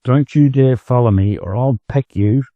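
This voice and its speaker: A man's voice: medium pitch, moderate pace, dry recording, phone-quality sound, natural voice. A man with an Australian accent voicing a boastful, snappish male farm chicken in a storybook, bragging and curt. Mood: angry, snappish.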